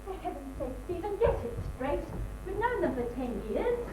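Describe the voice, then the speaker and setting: A woman acting an exasperated, bossy middle-class British wife in an amateur stage comedy, with a brisk, scolding manner. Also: extremely high pitch, big pitch swings, dark tone. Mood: brisk irritation. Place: theatre stage, recorded from afar. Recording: distant, echoey stage recording with hiss.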